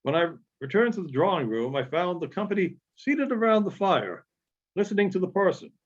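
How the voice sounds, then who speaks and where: A man with a husky, slightly high voice reads literary prose aloud in a dull, muffled recording. His delivery is measured and a little halting, phrase by phrase, with a lively rise and fall. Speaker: audiobook reader. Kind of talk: literary narration read aloud.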